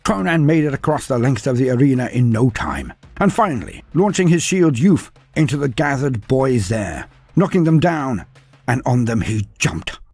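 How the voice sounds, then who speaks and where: A man narrating a scripted fantasy tale in a theatrical, deliberate storytelling manner with florid phrasing. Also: mid-range voice, expressive, a little mellow, slightly rough, no room sound.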